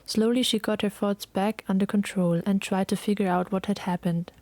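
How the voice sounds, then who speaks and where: Female narrator for a dramatic audio tale; mid-range voice, solemn measured reading, very dry recording. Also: medium pitch, fairly even intonation, a little mellow, no room sound. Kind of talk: scripted dramatic story narration.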